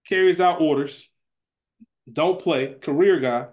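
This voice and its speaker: A man's voice: fairly high pitch, slow, a little mellow. A man co-hosting a podcast, chatting casually in colloquial, slangy language. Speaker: podcast co-host. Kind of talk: casual chat.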